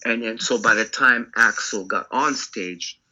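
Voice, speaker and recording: A man, a guest on an interview, telling studio stories in a casual, animated way with short insistent bursts. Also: mid-range voice, unhurried, muffled recording. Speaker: record producer guest.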